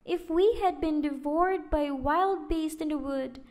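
A woman's voice: sits high, unhurried, a little mellow, pitched above the performer's own voice. A woman doing a frightened, pleading little girl.

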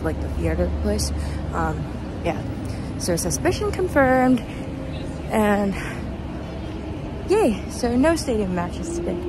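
Sleepy young woman doing a walking vlog, slow rambly chat, traffic noise behind her. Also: mid-range voice. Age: young adult. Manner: halting, rambling, self-deprecating. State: tired, sleep deprived. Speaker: vlogger, student performer. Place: outdoors on a city street at night, walking. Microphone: phone at arm's length. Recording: noisy outdoor street, traffic ambience.

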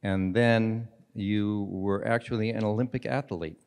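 A man's voice: fairly low pitch, fairly even intonation, unhurried, a little mellow, smooth. Middle aged guy hosting on stage, jokey with the crowd, handheld mic, big echoey hall. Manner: jovial, joking with the audience. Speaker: interviewer, host. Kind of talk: onstage interview and introduction. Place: large conference hall with audience. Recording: reverberant conference hall.

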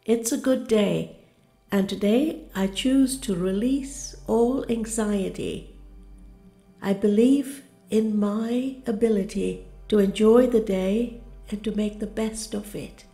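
A woman reads a guided meditation in a calm, deliberate way, taking her time over each phrase. Her voice is gentle and soothing, set in a roomy, reverberant sound with soft music behind it. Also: mid-range voice.

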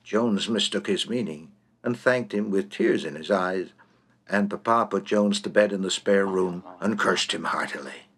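A man's voice: low voice, a little slow, fairly bright tone. A man narrating a comic short story in a droll, theatrical, precise manner.

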